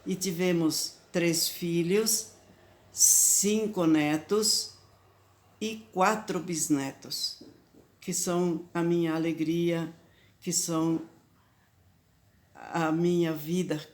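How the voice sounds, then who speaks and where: A woman in her late eighties with a Talian-influenced Brazilian accent, whose first language is Talian, telling her memories slowly and reflectively, often restarting words. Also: fairly low pitch, fairly even intonation. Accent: Italian-Brazilian Talian-influenced Portuguese. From southern Brazil. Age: 80s. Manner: slow, reflective, with frequent restarts. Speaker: storyteller. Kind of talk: personal memoir.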